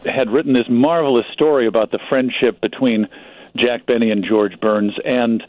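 A man talking as a guest in a radio interview, telling stories in a measured, hesitant way with pauses. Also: mid-range voice, fairly even intonation, no room sound, phone-quality sound. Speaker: guest, magazine publisher.

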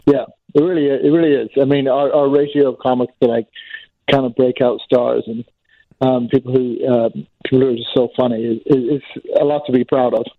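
A man's voice: medium pitch, flat delivery, a little fast, no echo. Man on a tinny phone line. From Northern Ireland and England. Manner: self-deprecating, rambling with fillers. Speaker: comedian guest. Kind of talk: casual phone interview.

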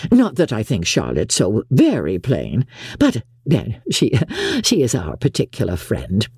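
Narrator doing a fussy boastful middle aged mom, gossipy, pitch all over the place, storybook style. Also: wildly swooping pitch, measured pace, a little mellow, breathy edge, dry recording, clean studio sound, heavily acted. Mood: smug, gossipy. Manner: rambling, gossipy, self-satisfied. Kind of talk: audiobook character dialogue.

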